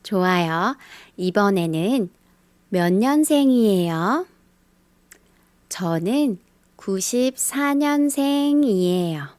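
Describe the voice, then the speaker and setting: A woman teaching a beginner language lesson, speaking in a slow scripted style and carefully repeating the example phrases. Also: medium pitch, lively intonation, darkish tone, dry recording. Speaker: Korean teacher.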